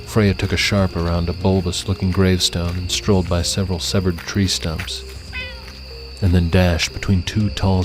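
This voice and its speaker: A man narrates dramatic fiction in a low, level voice with little rise or fall. His delivery is measured and suspenseful, with music clearly behind him. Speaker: audiobook narrator.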